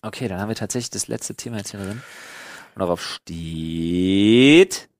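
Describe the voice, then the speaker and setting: A man's voice: lowish voice, normal speed, no room sound, studio quality. A man chatting casually as a podcast co-host, joking in slang with English expressions mixed in.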